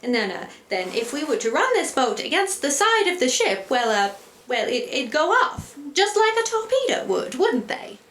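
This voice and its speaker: A woman's voice: high voice, very animated, normal speed, a bit bright. A woman playing a prim, determined, proper lady in a live radio play, speaking formally and earnestly, polite but insistent. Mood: hesitant, eager. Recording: echoey live stage, noticeable room noise.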